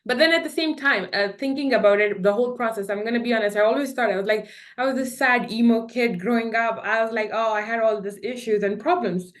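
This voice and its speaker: A woman's voice: a little high, fast talker, hardly any pauses. A woman in her twenties telling personal stories as a panelist, chatty and candid. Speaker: author and panelist. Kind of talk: casual personal storytelling on a panel.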